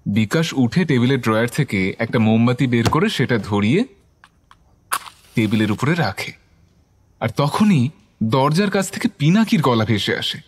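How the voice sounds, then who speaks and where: A man's voice: mid-range voice, lively intonation, moderate pace, pauses often, breathy edge. A man giving scripted, dramatic narration of a horror story.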